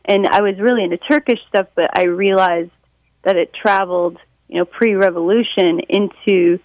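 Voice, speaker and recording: An American woman from Detroit, a musician, answering interview questions in a casual, animated storytelling way with a creaky voice. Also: medium pitch, normal speed, no room sound, muffled recording. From Detroit, United States. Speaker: musician and songwriter being interviewed.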